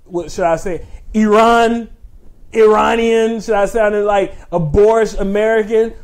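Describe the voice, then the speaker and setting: Higher-voiced man in emphatic film chat. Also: steady tone, a little slow, a little mellow. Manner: emphatic, repeats phrases for emphasis. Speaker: co-host of a film talk show. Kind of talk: opinionated casual chat about movies.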